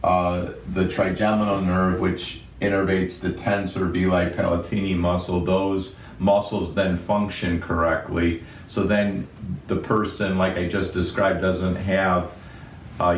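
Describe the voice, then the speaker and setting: Male doctor, low booming voice, level methodical delivery, roomy echo from a bit off-mic. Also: far from the mic, slight background noise. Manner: steady, methodical explaining in medical terms. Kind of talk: unscripted medical explainer. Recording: roomy echo, somewhat off-mic, faint ambience.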